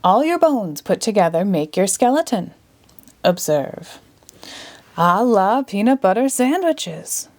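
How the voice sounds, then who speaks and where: A woman voicing a cheerful, grandiose male stage magician in a storybook, with theatrical, drawn-out delivery. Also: medium pitch, very animated, a little mellow. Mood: showy.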